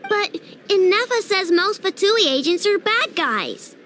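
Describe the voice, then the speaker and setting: A woman's voice: very high voice, sing-song, measured pace, fairly bright tone, slight background noise, exaggerated voice. A woman voicing a plucky, blunt young girl inventor in a video game, with a childlike, casual, feisty manner. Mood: eager, curious. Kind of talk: video game character dialogue. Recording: music playing behind.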